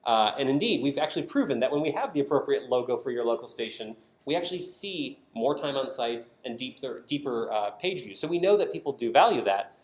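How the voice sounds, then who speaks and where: A man's voice: medium pitch, lively intonation, a little fast, hardly any pauses. A man giving a prepared conference presentation, explaining his points with emphatic stresses. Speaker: conference presenter, design team member at a public radio network. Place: indoor conference talk. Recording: echoey room sound.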